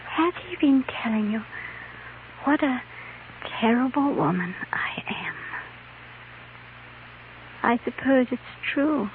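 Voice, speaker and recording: An actress playing a dying, flirtatious stage woman, taunting and theatrical, with weak, breathy delivery in a 1950s radio western. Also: high-pitched, animated. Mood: mocking, fading. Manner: slow, breathy, languid and weakened. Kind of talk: 1950s radio drama acting. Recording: muffled, noisy 1950s radio recording.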